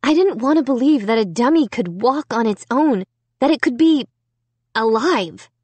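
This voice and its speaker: A woman voicing a remorseful young girl in a storybook, confessing in a soft, hesitant voice. The delivery is slightly raised in pitch and close to a dry mic. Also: expressive, moderate pace, slightly rough, dry recording.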